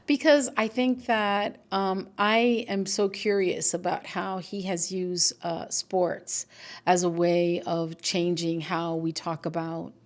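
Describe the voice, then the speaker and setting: Reflective middle-aged New woman, lowish voice. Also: level tone, slow pace. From New York City. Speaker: podcast host and activist being interviewed. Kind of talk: reflective conversational interview.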